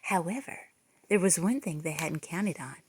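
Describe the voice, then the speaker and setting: A woman's voice: fairly low pitch, moderate pace, husky, low-quality recording. A woman reading a children's picture book aloud in a dramatic storytelling style with theatrical emphasis. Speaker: children's read-aloud reader.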